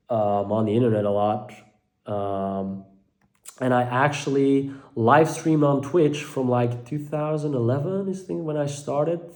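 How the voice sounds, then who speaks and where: Soft-spoken Belgian man with a Dutch accent. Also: mid-range voice. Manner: hesitant, many fillers and restarts. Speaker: mental health content creator. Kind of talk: casual talk to camera. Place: living room at home.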